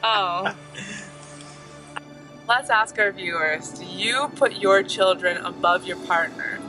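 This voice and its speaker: A woman's voice: mid-range voice, slow, music in the background, low-quality recording. An American woman co-hosting a family vlog, talking in an earnest, chatty way. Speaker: vlog co-host. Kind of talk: casual chat.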